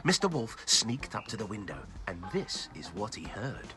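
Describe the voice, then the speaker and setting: Guy reading a kids bedtime story, playful, really animated pitch, music behind. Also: moderate pace. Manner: playful, child-directed. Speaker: children's story reader and host. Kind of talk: expressive bedtime storybook narration.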